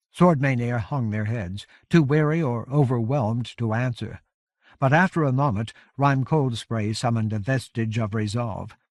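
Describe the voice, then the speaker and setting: Dry, measured male audiobook narrator. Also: mid-range voice, a little mellow, no room sound. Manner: measured, grave storytelling. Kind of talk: scripted dramatic fiction narration.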